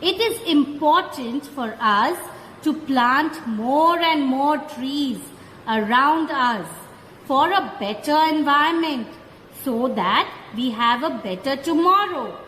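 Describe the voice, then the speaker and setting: A woman's voice: high-pitched, lively intonation, a bit bright, full character voice. A woman with an Indian accent playing a kindly, teacherly old grandmother in a children's puppet show. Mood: earnest. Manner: slow, deliberate, instructive delivery. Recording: echoey hall, background noise.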